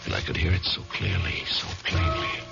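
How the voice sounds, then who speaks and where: An actor playing a haunted, uneasy lawyer with a halting manner in a 1970s radio drama. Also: male voice, low-pitched, flat delivery, creaky, music in the background. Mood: haunted, confiding. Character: troubled, haunted male lawyer caring for sick wife. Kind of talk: 1970s radio drama acting and first-person narration. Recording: muffled, hissy old radio recording.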